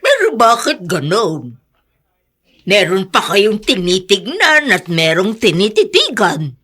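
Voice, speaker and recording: Bright, animated, frank woman. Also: medium pitch, slow pace, husky, exaggerated voice. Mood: reproachful. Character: frank, teasing female neighbour challenging an older man. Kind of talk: Filipino radio drama acting.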